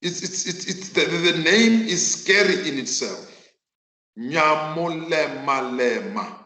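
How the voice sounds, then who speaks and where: A South African man whose mother tongue is Northern Sotho, teaching a virtual class in an emphatic, deliberate way and repeating phrases for effect. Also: a little high, expressive, sharp tone. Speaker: accounting teacher. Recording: echoey room.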